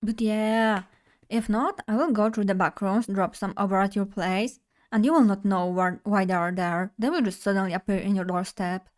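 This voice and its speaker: A woman's voice: mid-range voice, moderate pace. A young woman from Poland, chatting bubbly and directly to her live chat as a streamer at a desk mic. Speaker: vtuber streamer.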